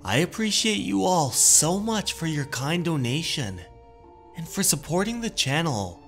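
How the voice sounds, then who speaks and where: A man narrating in a measured, deliberate way, as the host of a horror story channel. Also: on the high side, big pitch swings, a bit bright, soft background music.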